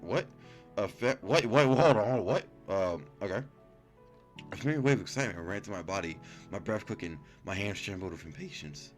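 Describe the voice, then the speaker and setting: Low voiced gamer guy reading visual novel text out loud, trips on words, casual reactions, music going. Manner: stumbling, self-correcting reader. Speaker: gaming YouTuber.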